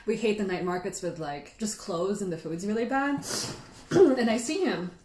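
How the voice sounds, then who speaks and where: A woman hosts a casual livestream chat in an echoey room. She has a mid-range voice and rambles along in an animated, informal storytelling way. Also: medium pitch, normal speed, a little hiss or hum. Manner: animated, rambling, giggly, frequent "like". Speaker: livestream host.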